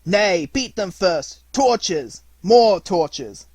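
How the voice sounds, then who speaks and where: Man as a shouting, vengeful village mob leader. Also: sits high, animated, extremely slow, slightly rough, no room sound, voice pushed up, heavily acted. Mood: furious, frenzied. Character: angry, superstitious male village mob leader.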